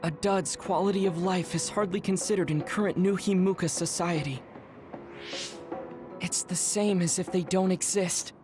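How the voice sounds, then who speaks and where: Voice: mid-range voice, fairly even intonation, lightly acted. A performer in an English game dub voicing a psychic decades old in a child's body, speaking formally and precisely, proud but insecure, over music. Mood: troubled, somber. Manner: formal, precise, measured speech. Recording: music behind the voice.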